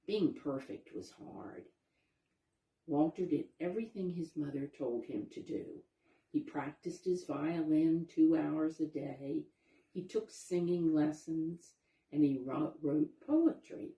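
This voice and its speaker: A woman with a low, mellow voice reads a picture book to young children in an echoey room. She explains as she goes and puts questions to her listeners. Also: takes it slowly. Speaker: storybook reader, teacher-like narrator. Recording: roomy, echoey sound.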